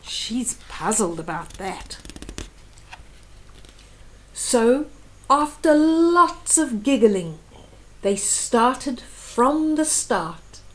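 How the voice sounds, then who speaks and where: High, animated older woman reading a storybook. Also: low-quality recording. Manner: expressive, explanatory asides to young listeners. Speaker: storyteller reading children's picture books. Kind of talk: picture book read-aloud for young children.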